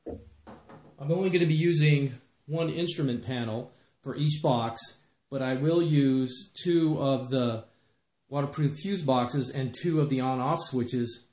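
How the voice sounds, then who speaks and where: Male DIY instructor, plain and methodical, mid-range pitch, roomy echoey indoor sound. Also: slow pace, a little mellow. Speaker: DIY project presenter. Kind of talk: instructional how-to narration. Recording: echoey room.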